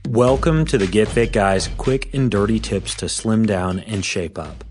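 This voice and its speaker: A man hosting a fitness podcast, a little hoarse, explaining things in an informal scripted way. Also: lowish voice, measured pace, music in the background. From Washington State.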